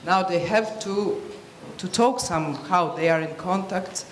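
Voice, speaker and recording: A woman from Serbia asks a panel a question in a halting way, stopping often to search for words. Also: on the low side, roomy sound, a little hiss or hum. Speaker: audience member asking a question. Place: conference hall.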